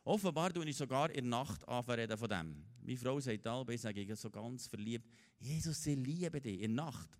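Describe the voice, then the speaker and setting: A man in his fifties with a Swiss German accent, preaching a lively sermon as a guest speaker, joking and rallying the congregation. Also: medium pitch, brisk. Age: 50s. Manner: exuberant, joking, rallies the crowd.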